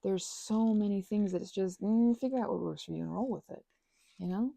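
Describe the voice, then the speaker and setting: Woman, thirties, ASMR rambler. Mellow, breathy delivery, playful and emphatic, recorded very dry. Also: medium pitch, brisk, dry recording. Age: 30s. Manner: emphatic, playful slangy rambling with quoted asides. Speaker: ASMR channel host. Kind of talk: casual rambling ASMR monologue.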